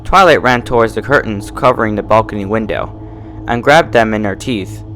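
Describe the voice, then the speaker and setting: A man's voice: fairly low pitch, normal speed, faint music behind. A man narrating a story in a dramatic, scripted reading style. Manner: animated, dramatic.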